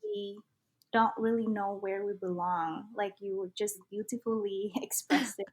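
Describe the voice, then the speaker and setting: A woman's voice: mid-range voice, animated, unhurried, husky, low-quality recording. Earnest Vietnamese-accented young woman. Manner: earnest, reflective, uses many fillers. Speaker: podcast guest. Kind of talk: casual conversation.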